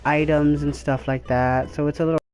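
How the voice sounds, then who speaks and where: A man's voice: fairly high pitch, flat delivery, fairly quick, darkish tone, soft background music. A man streaming a game, chatting casually in a loose, rambling way. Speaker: gaming streamer. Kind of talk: casual chat while gaming. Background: game audio.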